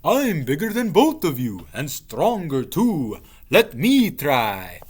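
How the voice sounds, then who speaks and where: A man's voice: on the high side, huge pitch swings, slow pace, husky, exaggerated voice. A man voicing a boastful, self-important vampire in a children's storybook.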